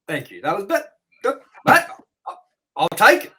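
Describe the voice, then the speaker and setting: A man hosting a review show, rambling and excitable as he wraps up the episode with plugs. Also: high voice, measured pace, slight background noise. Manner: rambling and excitable, with sound-effect noises. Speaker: host. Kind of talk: casual episode wrap-up with plugs.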